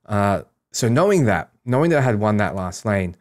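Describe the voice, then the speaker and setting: A man's voice: lowish voice, sing-song, moderate pace, darkish tone. A man giving casual, explanatory commentary on a game, talking through his strategy as a streamer.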